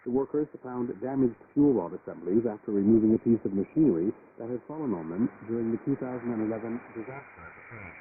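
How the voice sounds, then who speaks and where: A man's voice: medium pitch, animated, fast talker, few pauses. A man working as a news reader, delivering a scripted international news bulletin on shortwave radio. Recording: crackly, phone-like shortwave radio, loud static. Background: loud shortwave static and noise.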